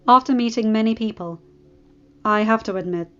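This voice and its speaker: A woman's voice: a little high, normal speed, sharp tone, clean tone. A woman voicing a polite, earnest young nobleman making a formal request. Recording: dull audio with faint music.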